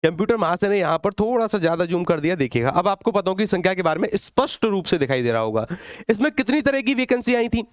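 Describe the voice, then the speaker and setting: Male exam coach, notably high voice, close dry sound, emphatic question-and-answer teaching delivery. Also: very animated, fast talker, no room sound. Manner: direct, conversational address to students. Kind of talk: exam-update lecture to students.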